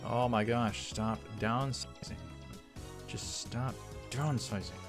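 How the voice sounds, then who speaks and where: A man's voice: mid-range voice, takes it slowly. A man hosting a let's play, reading a visual novel aloud and adding casual commentary. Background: faint music.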